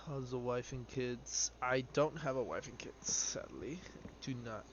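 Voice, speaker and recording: A man in his twenties, a live streamer chatting casually and haltingly as he plays and answers viewer questions. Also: medium pitch. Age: 20s. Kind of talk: casual chat while playing a game. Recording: dull lo-fi stream audio with noise.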